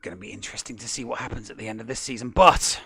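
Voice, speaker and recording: A man's voice: fairly low pitch, racing, gravelly, pushed and bright, slightly rough, no room sound. A man co-hosting a gaming stats show, recapping match results like a sportscaster. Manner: sportscaster-style recap with dramatic lines. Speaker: co-host. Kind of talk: casual gaming show commentary.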